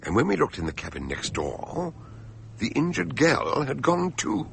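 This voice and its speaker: An actor playing an anxious, cautious gentlemanly doctor who narrates and takes part in a radio mystery, grave and measured. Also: male voice, fairly high pitch, huge pitch swings, creaky, a little hiss or hum, heavily acted. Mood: storytelling. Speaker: narrator and character. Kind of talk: radio drama narration and dialogue.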